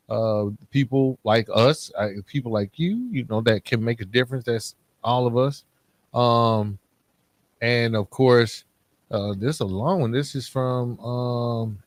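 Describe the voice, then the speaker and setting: A man's voice: medium pitch, dry recording. A man hosting a podcast in an earnest, informal conversational way, peppering his talk with casual asides. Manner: earnest, informal, often saying "man" and "you know". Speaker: podcast host.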